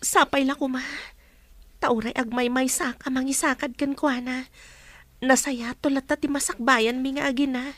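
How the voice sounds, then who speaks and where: A woman's voice: sits high, little pitch movement, moderate pace, dry recording, close to an everyday voice. A woman acting a sorrowful unwed new mother with an Ilocano accent in a radio drama. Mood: worried.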